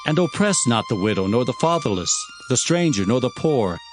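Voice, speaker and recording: A man playing a reverent prophet who recounts visions in measured, formal, declamatory scripture narration. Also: mid-range voice, very animated, music in the background, natural voice. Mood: earnest.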